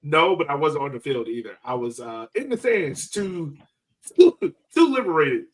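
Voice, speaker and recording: Animated, higher-pitched man chatting sports. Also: moderate pace, slightly rough. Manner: excitable, animated storytelling. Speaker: soccer podcast host. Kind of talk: casual sports chat.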